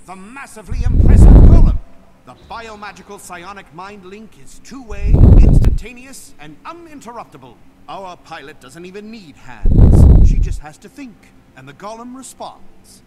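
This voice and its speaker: A cocky little gnomish inventor for a video game. He boasts like a showman in a high, very lively voice. The captured audio is heavily noisy and clicky. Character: cocky fast-talking small gnomish inventor, crew leader.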